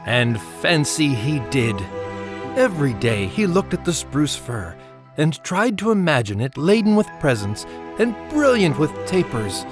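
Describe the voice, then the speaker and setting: Expressive male storyteller over music. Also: on the high side, measured pace. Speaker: narrator. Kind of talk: literary scripted storytelling narration.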